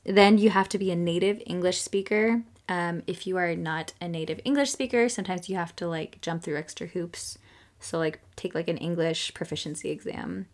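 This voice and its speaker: A woman's voice: on the low side, fairly even intonation, normal speed. A young woman co-hosting a podcast, chatting casually and rambling with lots of fillers and laughter. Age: young adult. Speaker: podcast co-host. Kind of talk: casual chat.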